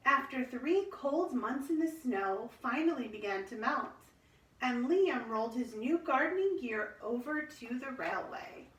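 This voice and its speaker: Woman, children's read-aloud, lively swooping intonation, expressive storyteller, roomy distant microphone. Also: high-pitched, measured pace. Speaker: storybook reader.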